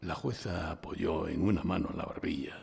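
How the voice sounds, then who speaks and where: Gravelly, shrewd Spanish defense lawyer. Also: male voice, sits low, moderate pace, a little mellow, heavily acted. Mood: formal, persuasive. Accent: Spain. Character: shrewd, composed male defense lawyer narrating his own case. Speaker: narrator and lead actor in a live audio drama. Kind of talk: courtroom audio drama with hardboiled first-person narration. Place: recorded live before an audience in a library. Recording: live stage recording in reverberant hall.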